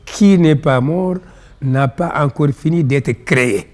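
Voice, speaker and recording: A man with a Senegalese accent and Pulaar as his first language, explaining ideas in measured, didactic phrases as an interview guest. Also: a little high, a little mellow. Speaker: interview guest, philosophy author.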